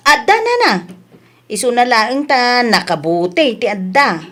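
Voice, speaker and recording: A woman's voice: fairly high pitch, very animated, unhurried, fairly bright tone. A woman playing a shrewd, penny-pinching wife who runs a small village store in an Ilocano comedy radio drama, with an Ilocano Filipino accent. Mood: sales chatter.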